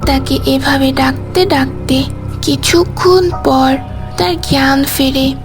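Slow, high-voiced woman narrating a story. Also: fairly even intonation, music in the background. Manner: deliberate, with pauses between phrases.

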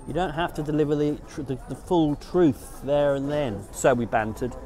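A man's voice: on the high side, moderate pace, dark tone, faint music behind. A middle-aged man telling a dating story straight to camera outdoors, rambling and frank, often restarting his sentences. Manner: rambling, frank, self-correcting. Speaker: dating vlogger. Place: outdoors beside a garden hedge in town.

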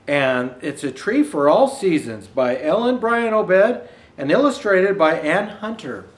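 High-voiced older man reading a storybook, roomy. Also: a little slow. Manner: expressive read-aloud with asides to viewers. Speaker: storyteller in Santa costume. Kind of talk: reading a children's picture book aloud. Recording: roomy indoor echo.

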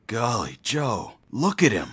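A man's voice: medium pitch, huge pitch swings, slow pace, a bit bright, breathy edge, no echo, heavily acted. A man playing an urgent crime-scene official in a pulp thriller audio drama. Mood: grim, urgent.

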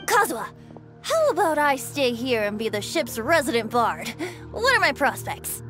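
A woman's voice: high voice, sing-song, moderate pace, music in the background. A woman voicing a carefree, playful young male bard in a game, with a breezy, wheedling way of talking.

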